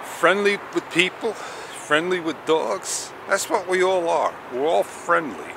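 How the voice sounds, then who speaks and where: A middle-aged man vlogging casually as he walks a park path in the morning, rambling along with pauses, his throat a little sore, recorded on an action camera held at arm's length. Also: sits high, gravelly. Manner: rambling, relaxed, thinks aloud with many pauses. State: sore throat, sucking on candy. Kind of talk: casual walk-and-talk vlog. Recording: outdoor noise with traffic behind. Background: passing traffic and outdoor ambience.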